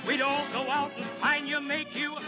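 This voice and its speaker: A man's voice: extremely high pitch, flat delivery, normal speed. A man singing in a vintage radio swing duet, with spoken comic asides. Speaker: singer. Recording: dull vintage radio recording. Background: loud band music.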